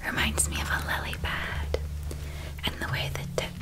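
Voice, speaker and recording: A woman performing an ASMR roleplay as a gentle, attentive witch, speaking in a soft, caring, descriptive whisper. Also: normal speed, lightly acted. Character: gentle, attentive witch outfitting an academy student.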